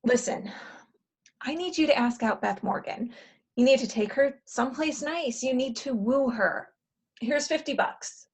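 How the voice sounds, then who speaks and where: A woman playing a bossy, exasperated matchmaker in a comedy play table read, brisk and sardonic as she gives orders. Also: high voice, husky, full character voice.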